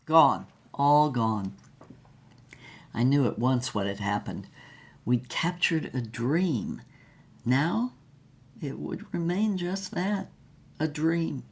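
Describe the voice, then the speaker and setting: A woman's voice: low voice, lively intonation, slow pace, pauses often, breathy edge, exaggerated voice. A woman playing a wistful, heartbroken former actress who narrates her lost love in an audio drama with dramatic, lingering melancholy. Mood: mournful.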